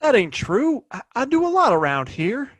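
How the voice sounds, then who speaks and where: An actor playing a defensive gunslinger son eager to please his father in a Western audio play, halting and protesting. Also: extremely high pitch, huge pitch swings, full character voice. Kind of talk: Western audio drama acting.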